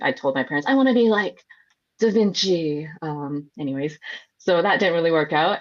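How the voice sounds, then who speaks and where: Woman, low voice, from Hong Kong, chatty expressive storyteller, interview guest, dull lo-fi sound. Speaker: food journalist guest. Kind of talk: conversational personal storytelling.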